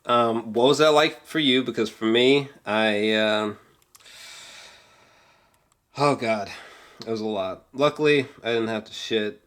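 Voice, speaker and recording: A man's voice: mid-range voice, slow pace, pauses often, a bit bright. A man giving a casual, rambling film review with geeky, awed enthusiasm, often hesitating, trailing off and swearing casually.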